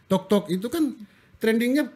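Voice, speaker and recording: A man's voice: very high-pitched, moderate pace, breathy edge. A man with a Manado accent, talking casually as a guest on a talk show and acting out the people he quotes. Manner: animated, acts out quoted people. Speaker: guest, AI content creator.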